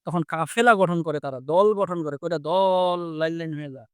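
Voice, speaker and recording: A man's voice: fairly high pitch, normal speed, clear, resonant tone, no echo, studio quality. A man with a Bangladeshi Bengali accent teaching vocabulary conversationally, repeating phrases and asking check questions. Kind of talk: interactive vocabulary lesson, explaining and quizzing.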